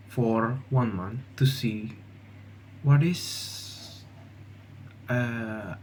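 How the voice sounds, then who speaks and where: A young man from Indonesia with an Indonesian accent, speaking English as a second language in a casual, halting monologue full of fillers and restarts. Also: medium pitch, a little mellow, slight background noise. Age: young adult. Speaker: vlogger.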